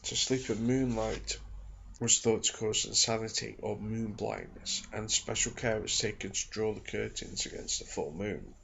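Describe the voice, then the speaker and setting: A man with a low, very creaky voice reads aloud in a hushed ASMR style. His delivery is measured and soft-spoken, with a heavy gravelly fry running through it. The home recording sounds a little dull. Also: a little hiss or hum. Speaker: ASMR reader and channel host. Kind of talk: ASMR reading aloud from a folklore book. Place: small home studio in a back bedroom.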